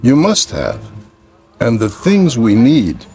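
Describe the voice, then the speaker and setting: A man acting a gentle, cryptic old street peddler in a radio thriller, speaking in a measured, deliberate way. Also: medium pitch, wildly swooping pitch, faint music behind, exaggerated voice. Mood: cryptic, calm. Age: older.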